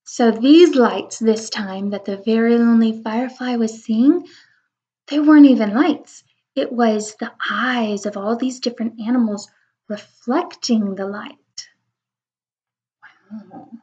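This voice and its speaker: Lady reading a picture book to little kids, really slow, full of wonder, dull lo-fi audio. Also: fairly high pitch. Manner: expressive, wondering, talking to young children. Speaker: read-aloud storyteller. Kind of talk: children's picture book read-aloud with chatty asides. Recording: dull lo-fi recording.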